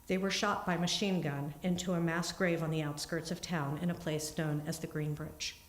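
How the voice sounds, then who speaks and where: A woman giving an earnest, steady talk in a synagogue, then answering questions, with small restarts. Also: deep voice, normal speed. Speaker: congregant giving a family history talk. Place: synagogue sanctuary. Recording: echoey room.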